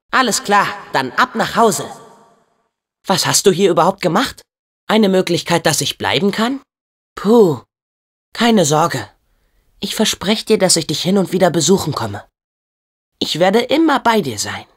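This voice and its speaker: An eager, loyal teenage boy hero from a video game. His delivery is earnest and youthful, with lively swings in pitch and an unhurried pace. The voice rings out in a long reverb. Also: breathy edge, exaggerated voice. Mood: gentle, cheerful. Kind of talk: video game voice acting, German dub.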